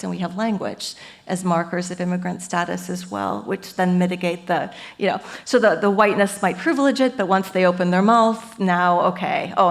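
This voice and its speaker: A woman professor giving an academic lecture at a symposium, lively and earnest, explaining ideas through everyday metaphors. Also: on the low side, gravelly. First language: English. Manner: lively and earnest, with frequent "you know" and "um".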